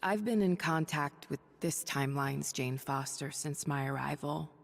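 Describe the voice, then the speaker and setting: A woman voicing a weary, noble superhero thunder goddess for a video game, confiding in measured, breathy speech. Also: low-pitched, long reverb tail, heavily acted. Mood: reflective. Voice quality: breathy, husky. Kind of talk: video game voice acting, dramatic dialogue and audio-log monologue.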